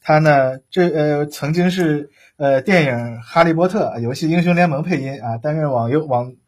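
A man hosting a live interview, speaking in a halting, conversational way. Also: on the high side, husky. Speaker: interview host.

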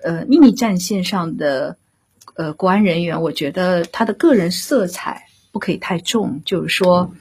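A woman with a lowish, very husky voice in an explanatory discussion. She speaks as a guest director, deliberately and with measured phrasing, repeating the points that matter to her. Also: a little mellow.

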